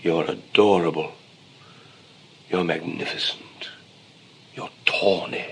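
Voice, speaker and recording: An actor playing a cynical, conceited novelist in a 1920s comedy of manners, dry, blunt and playfully provocative. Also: male voice, deep voice, fairly even intonation, slow, creaky, fairly bright tone, husky, heavily acted. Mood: flirtatious teasing. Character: cynical, teasing male novelist, urbane and conceited. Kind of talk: 1920s English comedy of manners stage acting.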